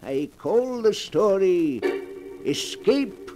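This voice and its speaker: An old radio broadcast with prominent music under a male narrator. He tells a mystery tale slowly and ominously, his high voice swinging dramatically in pitch. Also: sing-song. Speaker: host and narrator. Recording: vintage 1940s radio broadcast.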